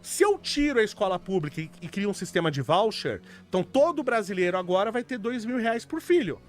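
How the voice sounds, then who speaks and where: A man's voice: high voice, sing-song, brisk. A man in casual podcast chat, explaining his views bluntly and argumentatively. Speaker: podcast co-host.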